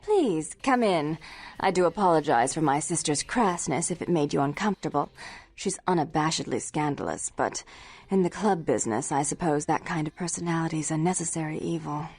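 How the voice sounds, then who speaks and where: A woman's voice: sits low, lively intonation, brisk, darkish tone, breathy edge, full character voice. A woman voicing a haughty, controlling vampire nightclub owner in a video game, prim and condescending. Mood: imperious. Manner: prim, condescending, formal diction. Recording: music behind.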